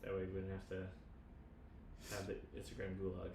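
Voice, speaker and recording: Casting: male streamer, rapid and rambling casual talker, roomy sound with faint noise behind. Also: sits low, monotone, vocal fry, a little mellow, distant mic. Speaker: livestream co-host. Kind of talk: casual livestream chat.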